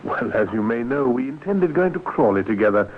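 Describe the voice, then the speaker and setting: An actor playing a polite, obliging gentleman chemist in a 1950s radio crime drama. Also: medium pitch, lively intonation, brisk, lightly acted. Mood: obliging, smooth. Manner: polite, plausible, genteel. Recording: tinny, noisy 1950s radio recording.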